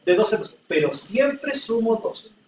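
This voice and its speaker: A man's voice: high voice, big pitch swings, a little slow, darkish tone, a little hiss or hum. A man teaching a class in a classroom, patiently explaining with everyday examples and often checking that students follow. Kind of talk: classroom lecture. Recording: echoey room, from far off.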